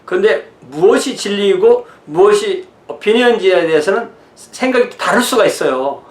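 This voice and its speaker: A man's voice: high-pitched, lively intonation, moderate pace. A man, a pastor giving an informal, conversational lecture in an explanatory way. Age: 60s. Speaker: pastor teaching church history. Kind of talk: informal lecture mixing notes and asides. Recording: roomy, echoing sound.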